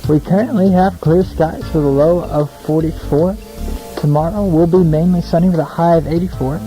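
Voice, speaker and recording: A man's voice: fairly high pitch, steady tone, moderate pace, warm tone, faint music behind, poor audio. A young American man hosting a casual radio show, chatty, informal and self-deprecating between songs. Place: small radio studio, alone.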